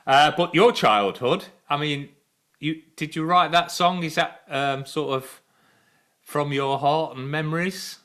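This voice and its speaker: Chatty, bright-voiced male host. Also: a little high, a little slow. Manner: enthusiastic, rambling, lots of uh and um. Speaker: show host. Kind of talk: live stream interview.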